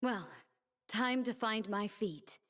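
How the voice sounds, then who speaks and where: A young female blade dancer for a video game. She speaks slowly and solemnly, with earnest resolve. Every line is measured and determined, the voice of a fighter devoted to her cause. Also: fairly high pitch, a bit bright. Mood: solemn, resolute. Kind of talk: scripted video game character voice lines.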